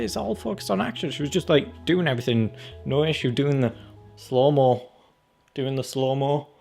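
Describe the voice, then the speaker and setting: A man's voice: fairly high pitch, creaky. A man giving a casual, chatty trailer review as a channel host, acting out his reactions as he goes. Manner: chatty, acts out reactions and quotes.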